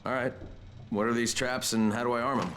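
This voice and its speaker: A man's voice: mid-range voice, fairly even intonation, fairly quick, fairly bright tone, slight background noise, close to an everyday voice. A man playing a plain-spoken action-hero newcomer in a video game, casual and full of questions. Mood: matter-of-fact. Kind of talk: video game dialogue acting.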